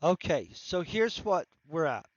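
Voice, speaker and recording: A man's voice: on the high side, sing-song, measured pace, dry recording, lo-fi sound. A man talking casually on a livestream about writing his book, thinking aloud and rambling, his voice congested. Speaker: author streaming his writing session.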